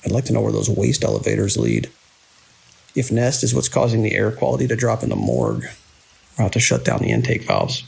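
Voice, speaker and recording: Gravelly, weary hospital director, acted by a man. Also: fairly low pitch, animated, brisk, darkish tone, exaggerated voice, poor audio. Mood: grave, suspicious. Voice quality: gravelly, husky. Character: weary, conscientious male hospital director under siege. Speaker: hospital director in an audio drama. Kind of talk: audio drama acting, log dictation and urgent calls.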